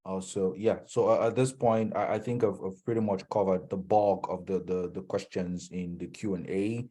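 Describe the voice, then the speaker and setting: A man with a very low, dark voice wraps up a Q&A on a video call. He talks hesitantly, with many fillers and restarts. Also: steady tone, normal speed. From Cameroon. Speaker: moderator. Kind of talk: moderating an online Q&A.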